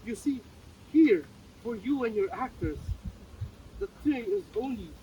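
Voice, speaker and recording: A man's voice: very high voice, animated, a little slow, lo-fi sound. An actor in a dramatic play reading, playing an earnest, philosophical man with a formal, emphatic, argumentative manner. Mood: earnest, polite. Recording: distant mic, faint background noise.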